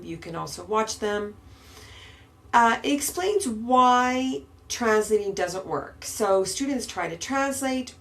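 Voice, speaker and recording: Casting: woman podcast host, unhurried, patient teacher. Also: mid-range voice. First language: English. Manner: slow, patient, emphatic explaining. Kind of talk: podcast grammar lesson. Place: Istanbul.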